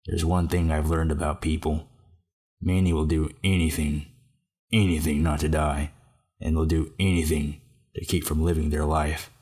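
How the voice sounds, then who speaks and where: A man playing a grave, gentle older man in an amateur audio drama, solemn and earnest in a measured way. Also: very deep voice, level tone, husky.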